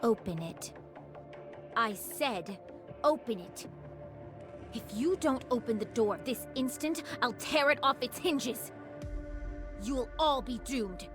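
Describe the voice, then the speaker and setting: An actress voicing a stern, fiercely protective squad fighter in a game cutscene, terse and commanding. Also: female voice, on the high side, expressive, slow, long pauses. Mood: menacing. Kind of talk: scripted game cutscene acting. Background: background music.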